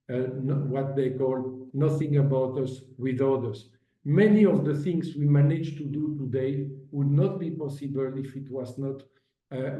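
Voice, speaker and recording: A man delivers formal remarks at a virtual conference, pausing often and restarting his phrases. His voice is very dark and mellow. It comes through dull and roomy, as if picked up from a distance. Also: mid-range voice. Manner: halting, many fillers and restarts. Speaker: agency director giving a presentation.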